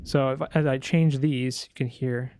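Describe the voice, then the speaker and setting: A man presenting a sound design software tutorial in a casual, informal way, thinking aloud as he demonstrates. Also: medium pitch, fairly even intonation, measured pace, clear, resonant tone, dry recording.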